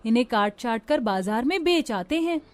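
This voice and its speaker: Woman voicing a high-voiced, long-suffering orphan girl. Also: expressive, moderate pace, a crowd in the background, close to an everyday voice. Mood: resigned. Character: caring, long-suffering eldest orphan sister, poor girl.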